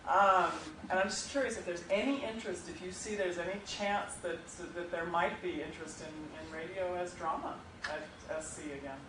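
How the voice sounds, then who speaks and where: A man's voice: sits high, a little fast, few pauses, far from the mic. An American man asking a casual, rambling question from the audience as a former student. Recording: roomy hall, off mic, faint noise.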